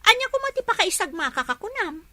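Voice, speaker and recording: A woman's voice: very high-pitched, sing-song, fast, bright, forceful tone, no echo, heavily acted. A woman playing a headstrong, eager young woman in a radio drama. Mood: challenging.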